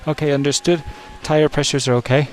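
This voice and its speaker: A man's voice: on the high side, flat delivery, fairly quick, faint music behind. A male race engineer giving short, repeated instructions to a driver over team radio. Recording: noisy motor racing team radio.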